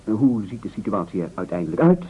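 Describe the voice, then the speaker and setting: A man's voice: on the low side, sing-song, fairly quick, mellow, slightly rough, exaggerated voice. An actor playing a meek, eager man who nobly offers himself up as a sacrifice, stammering and speechifying self-importantly in an absurdist radio play. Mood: pompous, oratorical. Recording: muffled old radio broadcast recording.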